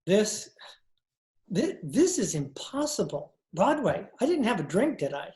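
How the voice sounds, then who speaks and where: Man playing an echoey, mellow, courtly old judge. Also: high-pitched, sing-song. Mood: stunned. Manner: florid, formal, halting. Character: courtly, tipsy old male gentleman called the Judge. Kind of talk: 1940s radio drama acting. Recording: roomy, muffled-toned video-call echo.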